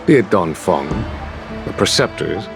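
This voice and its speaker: A man voicing a composed, shrewd general in a fantasy video game, speaking in a measured, formal and eloquent way. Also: deep voice, sing-song, vocal fry, over music, exaggerated voice. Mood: calm, measured. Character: composed, scheming-wise male general of a fantasy fleet. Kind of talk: scripted game dialogue.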